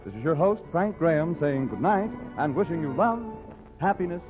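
A man's voice: fairly high pitch, lively intonation, moderate pace, music in the background. A man hosting and announcing in a scripted 1940s radio style. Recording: tinny 1940s radio broadcast recording.

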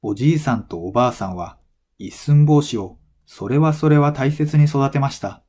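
A man reading a folktale as a scripted narration for language learners, in measured, clearly phrased storytelling. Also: mid-range voice, lively intonation, darkish tone.

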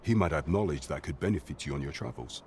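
Fantasy game knight captain, very deep voice, grave, loyal, formal and measured, noise behind him. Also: male voice, lively intonation, creaky, heavily acted. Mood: courteous. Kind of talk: scripted fantasy game acting in archaic English.

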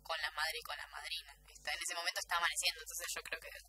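A young Argentine woman giving casual answers as a radio guest, haltingly repeating words as she finds her phrasing. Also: on the low side, wildly swooping pitch, sharp tone, breathy edge, no echo, faint music behind. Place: small radio studio. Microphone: studio desk microphone.

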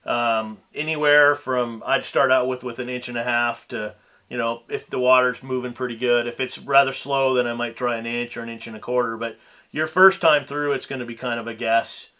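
A man in his thirties, a co-host, casually explaining tips in a relaxed, hesitant way. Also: medium pitch, moderate pace. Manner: relaxed, hesitant with ums and restarts. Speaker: fishing pro staffer and co-host. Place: small studio set with backdrop.

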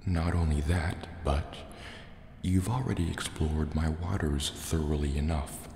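Menacing sea serpent dragon, played by a man, soft ASMR delivery, slow and threatening, in a reverberant, echoing cave with water sounds. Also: extremely low pitch, gravelly, full character voice. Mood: haughty, threatening. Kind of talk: soft-spoken ASMR roleplay. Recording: echoey cave reverb, faint noise. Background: water and cave ambience.

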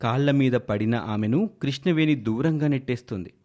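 A man's voice: medium pitch, moderate pace, warm tone. A man narrating a scripted moral story in a dramatic storytelling style.

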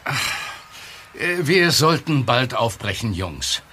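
Gruff middle-aged uncle for radio play: weary, evasive, sharp-edged voice, lively intonation, some ambient noise. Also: male voice, medium pitch, takes it slowly, heavily acted. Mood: reluctant, weary. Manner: curt, hesitant when pressed. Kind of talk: German audio drama acting.

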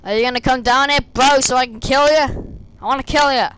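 A young woman, slightly hoarse and losing her voice, chatting and rambling as she plays a stealth game at her desk, talking to the enemies on screen. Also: high voice, fairly even intonation, a little fast, fairly bright tone, a little hiss or hum, low-quality recording. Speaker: gameplay streamer. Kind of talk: casual gameplay commentary. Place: small room indoors, seated at a desk.

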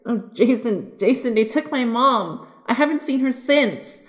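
A woman's voice: fairly high pitch, expressive, unhurried. A woman playing a frightened young female hero held captive in an audio drama, earnest and worried. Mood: distressed. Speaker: voice actor.

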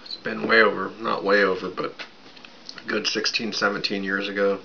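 A raspy man in his thirties rambling casually at his webcam in a small bedroom, with lots of fillers while he drinks. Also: lowish voice, level tone, moderate pace, bright, forceful tone. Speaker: vlogger. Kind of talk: casual show-and-tell vlog. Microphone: webcam at desk distance. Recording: dull lo-fi sound with background noise.

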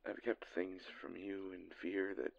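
A man performing an intimate audio roleplay as a lovesick, insecure boyfriend, halting and breathy with a gravelly voice, often sinking into whispers. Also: deep voice, level tone, dull audio. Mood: sad devotion. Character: lovesick, insecure boyfriend longing for his distant partner. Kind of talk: scripted intimate romantic monologue.

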